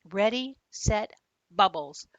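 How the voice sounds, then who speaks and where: Eager young child, a bit nervous, storybook style, lively intonation, close dry sound woman performing. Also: mid-range voice, slow, dry recording, lo-fi sound, voice pushed up. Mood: announcing proudly. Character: young child showing off a pet goldfish, earnest, nervous, eager. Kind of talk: storybook read-aloud character voice.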